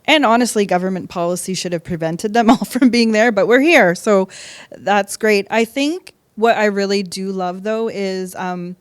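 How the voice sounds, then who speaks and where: Casual woman, medium pitch. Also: normal speed, clean tone. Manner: informal, ends points with "right?". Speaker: podcast guest, college staff member. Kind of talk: casual chat in an interview.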